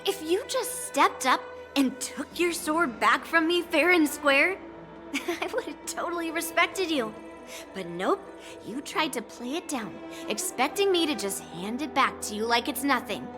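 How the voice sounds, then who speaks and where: A woman's voice: high-pitched, lively intonation, unhurried, music in the background. A woman playing a cocky young swordswoman who teases and laughs often, in a game cutscene. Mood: teasing. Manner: teasing, laughs often, smug. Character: cocky young female swordswoman, teasing and bold.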